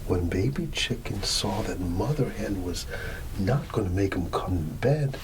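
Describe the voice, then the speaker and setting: A man with a low voice reads a bedtime story in ASMR style. He goes very slowly and soothingly, often slipping toward a whisper. A steady ambient noise sits clearly behind him. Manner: slow, soothing, chatty asides to listeners.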